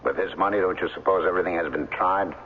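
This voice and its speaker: Secretive right-hand man to a tycoon: low husky voice, measured authority, old radio drama. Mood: grave, assured. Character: secretive, commanding male aide to a billionaire. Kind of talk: 1970s radio drama acting. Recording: lo-fi old radio broadcast, long reverb.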